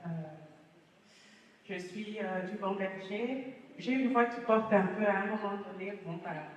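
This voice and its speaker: A woman, sharing a personal testimony at a church gathering and pausing often to find her words. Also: medium pitch, a little hiss or hum. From Congo. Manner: halting, many hesitations. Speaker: testimony speaker. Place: large church hall. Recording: echoey hall, voice from far off.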